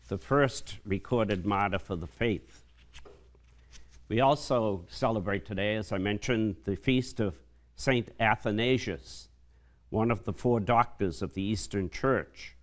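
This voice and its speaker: An older man, a priest, giving a measured, reverent homily at a church lectern microphone. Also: fairly low pitch. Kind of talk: homily at Mass.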